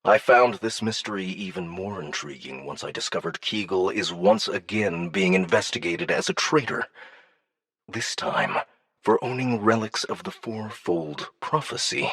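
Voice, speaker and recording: A man voicing a cunning alien dignitary in an audiobook, probing with languid, deliberate menace. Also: on the low side, creaky, fairly bright tone, slightly rough, no echo, heavily acted. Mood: silky, probing.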